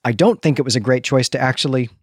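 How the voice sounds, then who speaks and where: A man's voice: mid-range voice, fast talker, dry recording. Man co-hosting a podcast, dry close sound. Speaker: podcast co-host. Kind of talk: casual discussion and review.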